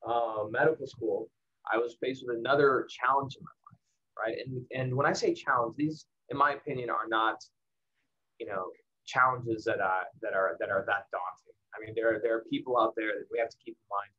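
An American man with a mid-pitched voice speaks as a guest on an online talk. He is candid and conversational, pausing for emphasis as he motivates young professionals. The audio is a little dull and lo-fi. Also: steady tone, pushed and bright. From El Paso, Texas, United States. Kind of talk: casual motivational talk to students. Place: indoors in the evening, online livestream.

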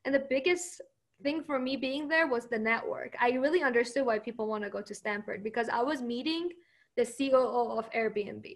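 A woman with a high voice, answering interview questions with confidence. Her first language is Persian, and she often laughs between points. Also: normal speed.

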